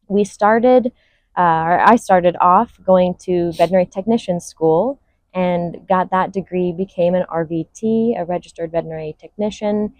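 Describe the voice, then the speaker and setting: A woman's voice: fairly low pitch, darkish tone, no room sound, muffled recording. A young woman from Hawaii, a veterinary student, speaking fluently as an interview guest. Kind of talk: unscripted interview.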